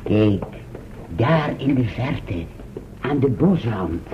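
A courteous, pedantic scholar in a radio drama. He speaks slowly, with swinging intonation and hesitant apologies. The sound is a narrow, noisy old broadcast. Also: medium pitch, big pitch swings. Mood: formal, measured. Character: formal, apologetic old-world scholarly man studying mysterious phenomena. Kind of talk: radio play acting. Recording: muffled, noisy old radio play recording.

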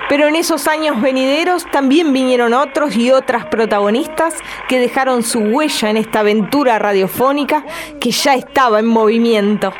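A woman's voice: high voice, normal speed, a little hiss or hum. A woman narrating a scripted radio documentary.

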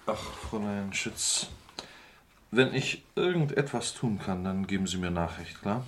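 Low-voiced, earnest witness, distant mic. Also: male voice, lively intonation, unhurried, fairly bright tone, a little hiss or hum. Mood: polite, subdued. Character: shaken, earnest gentleman witness reporting a death. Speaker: actor in a crime radio play. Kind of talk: German crime radio drama acting.

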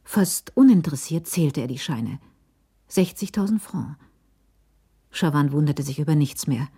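A woman narrating a radio crime drama in a measured, literary storytelling manner. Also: sits low, expressive, mellow, breathy edge.

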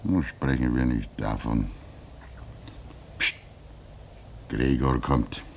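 A calm fatherly old hand. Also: very deep voice, takes it slowly, gravelly, slight background noise, exaggerated voice. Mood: soothing. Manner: soothing, gentle, cautious. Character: older calm fatherly man at polar station. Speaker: radio drama actor.

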